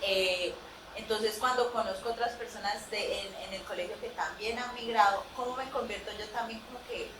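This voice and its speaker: A woman's voice: mid-range voice, steady tone, moderate pace. A young Colombian woman with a Cauca accent, answering as a student at her thesis defense, hesitant with many fillers and candid. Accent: Colombian Spanish from Cauca. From Puerto Tejada, Cauca, Colombia. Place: large echoey hall. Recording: echoey room, distant, noisy.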